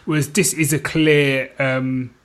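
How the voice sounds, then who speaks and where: A man's voice: mid-range voice, little pitch movement, slow pace, a bit bright. A British man chatting informally as a podcast co-host, often checking that his listener follows. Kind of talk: casual chat.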